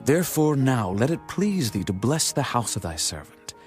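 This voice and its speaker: An actor playing a humble, reverent king of ancient Israel in a dramatized scripture reading, speaking in earnest, measured, formal phrases. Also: medium pitch, very animated, natural voice. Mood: earnest, pleading. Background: music.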